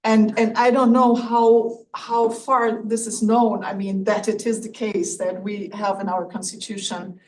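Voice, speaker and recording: A woman's voice: medium pitch, little pitch movement, a little slow, few pauses, a little mellow. Woman academic with German accent, hesitant and polite questioner, picked up from afar with faint noise. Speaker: visiting fellow, audience questioner. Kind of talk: academic question and comment from the audience. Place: lecture room audience. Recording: distant mic, faint room noise.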